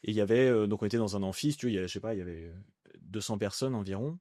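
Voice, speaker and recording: A man's voice: fairly low pitch, very fast, dark tone, dry recording, studio quality. A man in his thirties from France, a guest on a podcast, talking casually and rambling with lots of fillers and restarts. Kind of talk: casual conversational interview.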